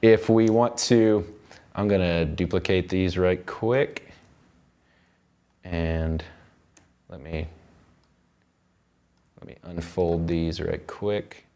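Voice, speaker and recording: A man's voice: deep voice, steady tone, slow pace, long pauses, a little mellow. A man teaching music production in an informal tutorial, rambling loosely and thinking aloud as he works. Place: indoor recording studio.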